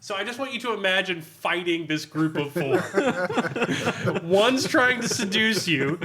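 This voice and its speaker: A man's voice: high voice, sharp tone. A man hosting a podcast in a humorous, sardonic review style, reading aloud and commenting with amusement. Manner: amused, sardonic, often laughing while talking. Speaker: podcast host reviewing a tabletop game.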